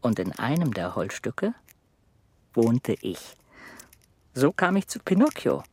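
A wry little wood-dwelling creature tells a children's radio story. The voice is husky and slow, lively in pitch and full of suspenseful pauses. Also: male voice, exaggerated voice. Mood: animated storytelling.

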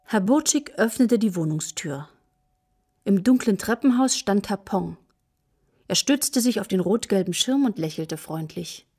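A calm storyteller, medium pitch. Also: female voice, measured pace, slightly rough. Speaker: narrator. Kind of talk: scripted story narration for children's radio play.

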